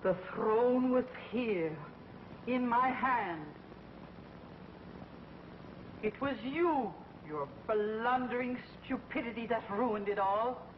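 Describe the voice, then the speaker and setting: A woman playing a vengeful, power-hungry witch queen in a 1950s TV drama, slow and imperious. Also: on the high side, slight background noise. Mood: scornful. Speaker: villain. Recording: muffled old TV soundtrack with loud music.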